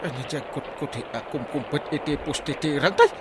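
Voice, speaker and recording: A man's voice: a little high, a little fast, noisy background, close to an everyday voice. A man playing a flustered, bossy village uncle in a comedy drama, speaking urgently with an Ilocano accent. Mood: anxious muttering.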